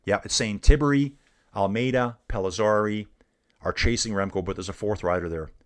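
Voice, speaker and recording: A man's voice: lowish voice, normal speed. A middle-aged man casually commenting on a bike race live and chatting with viewers, laid-back and saying "dude" a lot. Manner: laid-back, says "dude" often, reads chat aloud.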